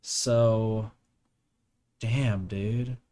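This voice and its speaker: A man's voice: on the low side, steady tone, extremely slow, mellow. Slangy young man streaming a game. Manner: slangy, repeats phrases, swears casually. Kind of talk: casual game stream commentary. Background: game music.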